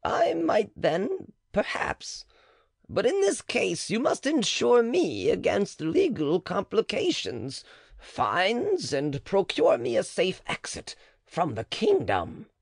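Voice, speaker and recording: Male narrator as cagey old midwife woman, raised gravelly pitch, dry close read. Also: huge pitch swings, takes it slowly, no echo, exaggerated voice. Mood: reluctant. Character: cautious scheming older female midwife. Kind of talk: audiobook character reading.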